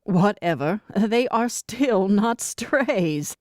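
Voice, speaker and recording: A woman's voice: medium pitch, lively intonation, extremely slow, no echo. A woman voicing a self-conscious but stubborn single woman in audiobook dialogue, with defensive, dry banter. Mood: skeptical.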